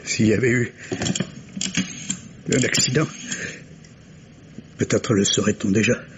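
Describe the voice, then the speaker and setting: A man's voice: lowish voice, fairly even intonation, noisy background, close to an everyday voice. Man acting anxious father, dull vintage radio sound. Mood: anxious, agitated. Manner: halting, repeats words under strain. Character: anxious professor, worried father hiding his fear. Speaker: actor in a radio mystery play. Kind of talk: old French radio drama acting.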